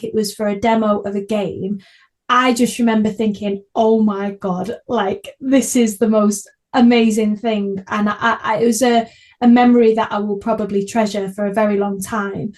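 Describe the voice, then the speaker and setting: High-voiced young woman with a Manchester accent. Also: steady tone, normal speed, a little mellow. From Manchester, England. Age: young adult. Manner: effusive, chatty. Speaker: podcast host. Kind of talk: casual interview chat.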